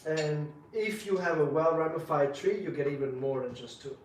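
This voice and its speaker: A man co-hosting a live bonsai demo, explaining his work in a relaxed, joking way. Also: fairly high pitch, moderate pace, slight background noise. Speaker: bonsai demonstrator, co-host. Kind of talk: live-stream demo chat. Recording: echoey room, far from mic.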